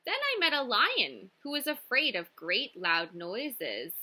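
A timid little boy turtle from a storybook, acted out by a woman, speaks high and slowly. He is polite and childlike, with an earnest, pleading lilt. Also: wildly swooping pitch, bright, forceful tone, slightly rough, heavily acted.

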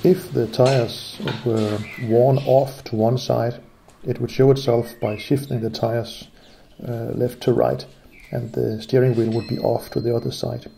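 A man calmly explaining his classic car steering repair step by step, pausing with frequent "uh"s. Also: mid-range voice, creaky, slight background noise. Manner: calm, methodical, frequent "uh" pauses. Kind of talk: narrated garage repair vlog.

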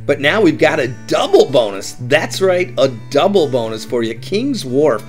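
A man's voice: a little high, sing-song, normal speed, soft background music. A middle-aged man hosting a travel vlog, giving upbeat tips and often saying "that's right". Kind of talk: enthusiastic travel guide narration.

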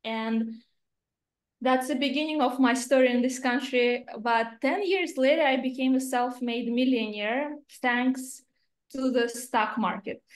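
Woman, Russian accent, thirties, higher voice, earnest halting talk, echoey video call. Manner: earnest, slightly halting phrasing. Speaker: guest investor. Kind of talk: remote interview. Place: home office indoors. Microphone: laptop camera on video call. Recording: roomy video-call audio.

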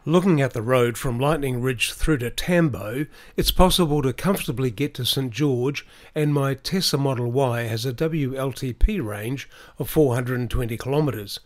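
A middle-aged man giving a calm, explanatory voice-over about planning a trip. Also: mid-range voice. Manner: measured, explanatory. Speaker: vlogger. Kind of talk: scripted voice-over narration.

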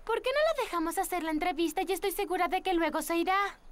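A woman voicing a kind, polite little girl in a cartoon, gentle and earnest. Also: extremely high pitch, lively intonation, fast talker. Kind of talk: animated cartoon voice acting.

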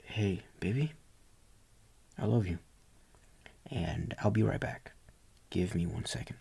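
Man acting a low, breathy, doting young boyfriend. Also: animated, slow pace, full character voice. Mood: tender, soothing. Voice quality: soft, breathy, often near whisper. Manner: gentle, coaxing, reassuring. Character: tender, doting young boyfriend comforting his partner. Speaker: boyfriend roleplay performer. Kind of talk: soft ASMR boyfriend roleplay.

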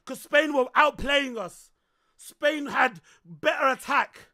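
Excitable man with a high voice. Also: slow pace. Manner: excitable, shouting, swears often. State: voice going hoarse. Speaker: streamer reacting to a football match. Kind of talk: live watch-along commentary. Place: small room indoors.

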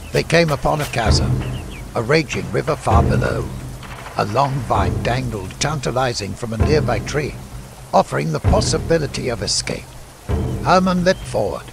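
A man's voice: medium pitch, gravelly. A man narrating a fantasy audiobook in a scripted, dramatic storytelling style. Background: music.

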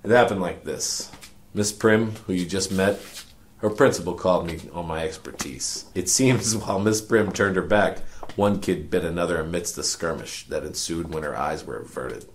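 An actor playing a cynical, world-weary detective, narrating a noir parody radio drama in a wry, self-important deadpan. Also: male voice, sits low, moderate pace, a little hiss or hum, lightly acted. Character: cynical world-weary hard-boiled male detective narrating his case.

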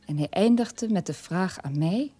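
An actress playing a sober middle-aged daughter of a war criminal, telling her past in a measured radio-play monologue with a husky voice. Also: fairly low pitch, expressive, mellow, no room sound, soft background music. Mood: sober, reflective.

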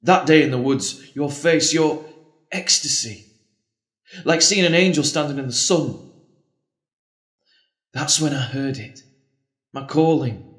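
An actor playing an earnest, pleading priest torn by an old love in an audio drama. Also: male voice, on the high side, takes it slowly, pauses often. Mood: tender, earnest. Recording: roomy, echoing space.

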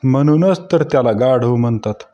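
A man's voice: medium pitch, normal speed, loud music behind the voice, lightly acted. A man playing ordinary put-upon men in comic cartoon skit dialogue. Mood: dry, joking.

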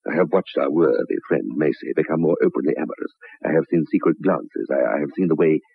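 A wronged husband in an old radio drama, theatrical and sarcastically endearing. His husky voice is deep and menacing. The recording is muffled and narrow, like an old radio broadcast. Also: fast talker, dry recording, full character voice. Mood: coldly menacing. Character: jealous, menacing, mock-tender wronged husband. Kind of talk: 1960s radio drama acting.

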